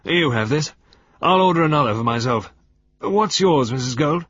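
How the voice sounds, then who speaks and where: A man voicing a reserved, stiff middle-aged naval commander with a clipped, forceful manner. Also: fairly high pitch, animated, moderate pace, pitched above the performer's own voice. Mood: brisk. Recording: dull lo-fi recording.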